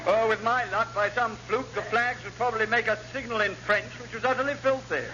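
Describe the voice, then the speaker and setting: An actor playing a grumpy, pompous British government VIP in a classic radio sitcom, sardonic and put-upon. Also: male voice, high voice, steady tone, a little fast, natural voice. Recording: dull, noisy old radio recording.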